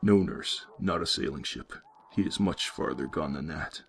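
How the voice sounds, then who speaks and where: Older asylum doctor, patronizing and calm, very low, gravelly tone, played by a male narrator. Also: moderate pace, full character voice.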